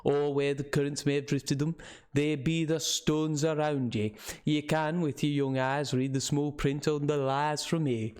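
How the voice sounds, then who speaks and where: A man acts out a crusty old villager from a storybook, with a thick dialect between northern English and Scottish. He rambles and preaches scornfully, pleased with himself, in a slightly high male voice. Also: fairly even intonation, measured pace, a little mellow, clean tone, natural voice. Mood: boastful, insistent. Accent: northern English and Scottish mix.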